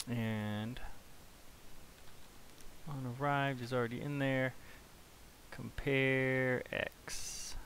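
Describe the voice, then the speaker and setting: A man hosting a casual software tutorial, talking informally and thinking aloud as he works. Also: medium pitch, poor audio. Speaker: tutorial host. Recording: clear background hiss.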